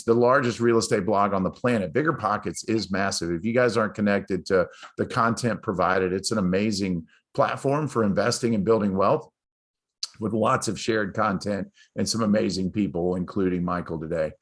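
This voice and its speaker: A man hosting a live interview show, talking in a rambling, enthusiastic way with many fillers and restarts. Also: fairly low pitch. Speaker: host.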